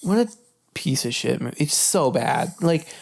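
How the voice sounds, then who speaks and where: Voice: medium pitch, lively intonation, moderate pace, creaky, husky. A podcast co-host chatting casually about movies, blunt and emphatic, swearing freely.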